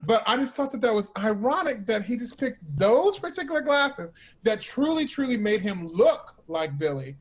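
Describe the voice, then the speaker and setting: A man with a notably high-pitched voice hosts an informal chat. He speaks emphatically and teasingly, often turning to address his listeners directly. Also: very animated, moderate pace, few pauses. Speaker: host.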